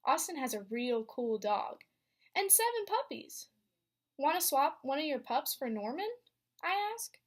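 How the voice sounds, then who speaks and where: Young female student teacher, expressive picture-book reader, slightly high voice. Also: measured pace. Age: young adult. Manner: expressive storytelling with teacher questions. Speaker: student teacher reading aloud. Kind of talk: picture book read-aloud to a class.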